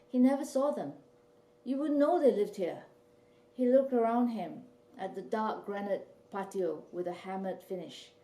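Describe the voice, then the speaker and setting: A woman from Malaysia, an author reading her own fiction in a measured, clear storytelling manner. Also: mid-range voice, mellow. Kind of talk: literary reading. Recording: roomy, distant mic.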